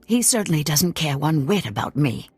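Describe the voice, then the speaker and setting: A proud, world-weary assassin lady of middle age from a space fantasy game. Her voice is low and raspy with a sardonic edge, over soft music. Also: big pitch swings, moderate pace, no echo, full character voice. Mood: bitter, weary. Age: middle-aged. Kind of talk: video game voice acting.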